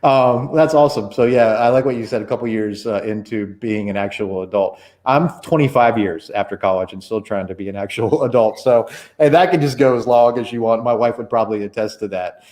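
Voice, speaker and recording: A man's voice: on the low side, a little fast, hardly any pauses, a little mellow. A man in his forties hosting a casual church livestream interview, chatty and rambling with many fillers and restarts.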